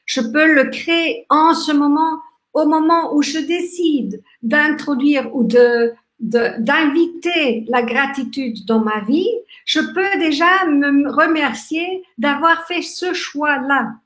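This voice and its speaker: Austrian woman in her fifties, German native, hosting a slow, gentle webcam talk, somewhat high voice, echoey room. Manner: gentle, reflective, halting with many restarts and fillers; talks with lively hand gestures. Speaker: workshop host. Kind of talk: live online talk on gratitude. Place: small room indoors.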